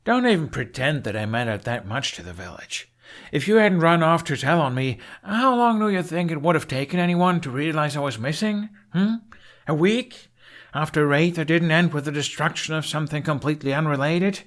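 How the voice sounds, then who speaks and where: Man acting a defiant young Viking man, raised pitch. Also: expressive, fairly quick, fairly bright tone. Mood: disdainful. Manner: sneering, snappy, disdainful.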